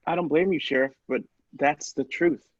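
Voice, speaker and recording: A man's voice: on the high side, normal speed, breathy edge, dry recording, muffled recording. A man playing an anxious, cautious doctor in an old-style radio play, speaking with earnest worry. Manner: worried, earnest.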